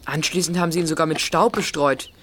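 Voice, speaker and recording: Boy detective for children's radio play: lowish register, assertive, clever leader, slight background noise. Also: steady tone, quick pace, a bit bright. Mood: confident, explaining. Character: Tarzan, confident clever boy detective leading his friends. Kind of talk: German children's audio drama acting.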